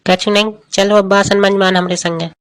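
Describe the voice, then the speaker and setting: महिला की निभाई ताने मारती बुंदेली गृहिणी. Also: female voice, mid-range voice, level tone, fairly quick, close to an everyday voice. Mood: exasperated. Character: sarcastic, exasperated housewife scolding cricket-mad husband. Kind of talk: comedy skit dialogue in dialect.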